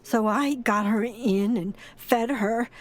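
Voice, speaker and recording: An actress playing a sorrowful old grandmother with a halting, sighing delivery in an audio drama. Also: a little high. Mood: weary, hesitant. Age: older. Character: frail, grieving, tough old grandmother and former foster mother.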